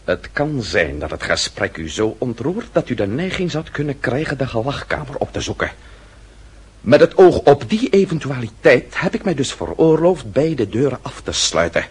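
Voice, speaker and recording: A man's voice: medium pitch, big pitch swings, moderate pace, husky. A smug mocking nobleman in an old costume radio play, super swoopy intonation, fancy sarcastic politeness. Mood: mocking, composed. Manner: ornate, sardonic politeness. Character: mocking, self-assured nobleman, icily courteous swordsman. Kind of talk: period radio drama acting.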